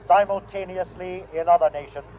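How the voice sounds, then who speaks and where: An actor playing a cold, commanding alien humanoid in a 1950s radio drama, with formal, measured and imperious diction. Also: male voice, high-pitched, steady tone, natural voice. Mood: coolly dictating. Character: cold, commanding male alien humanoid envoy, calmly superior. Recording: dull old radio broadcast, faint noise.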